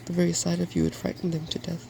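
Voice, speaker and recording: A man voicing a fearless, bookish, self-assured young woman in a soft-spoken storybook reading. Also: high voice, completely flat delivery, fast, vocal fry, noisy background. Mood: lofty.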